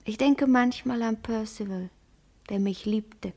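A woman's voice: a little high, measured pace, warm tone. An actress playing a prematurely grey, contented country mother, speaking a whispery monologue in a literary radio play. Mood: pensive, contented.

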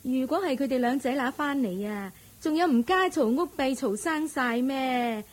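A woman playing a touchy, pampered young wife and new mother, with a drawn-out delivery, in a radio drama. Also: high voice, animated. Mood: petulant. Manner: petulant, drawn-out complaining. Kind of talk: Cantonese radio drama acting.

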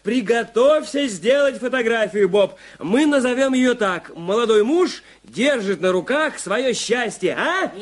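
A man's voice: extremely high pitch, expressive, a little slow, hardly any pauses. An actor playing a mocking, gleeful man in an audio drama, jeering theatrically. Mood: jeering, triumphant. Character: mocking, gleeful, loud man hailing newlyweds. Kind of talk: Russian audio drama acting. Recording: crowd noise behind.

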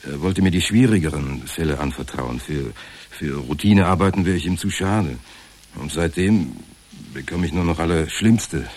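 An anxious, beaten-down office clerk in a radio play. The voice is extremely low and rough-edged, and he picks his way hesitantly through self-pitying sentences. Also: normal speed, a little mellow. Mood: dejected, anxious. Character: insecure, downtrodden male insurance clerk, anxious and hesitant. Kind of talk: naturalistic radio drama acting.

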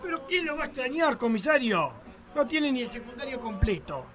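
A man's voice: very high voice, sing-song, brisk. An actor playing a scheming, sneering Argentine rich man in a radio drama, bossy toward the police chief. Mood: plotting. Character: scheming rich rancher villain.

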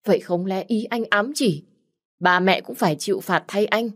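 A woman voicing a jealous young wife who pleads and accuses in a shrill, raised voice. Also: moderate pace, natural voice. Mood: shrill accusing.

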